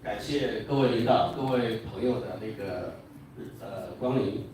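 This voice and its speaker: A man's voice: fairly low pitch, a little mellow. Male Chinese company speaker, hesitant and formal, far from mic with faint noise. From China. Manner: halting, hesitant delivery. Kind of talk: formal speech. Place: large indoor hotel event room. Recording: very echoey hall, distant mic, faint noise.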